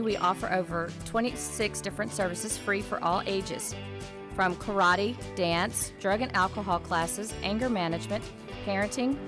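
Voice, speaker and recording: A woman delivers a scripted radio advertisement as an announcer. Her pitch is average. Music plays clearly behind her. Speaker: announcer reading a sponsor message.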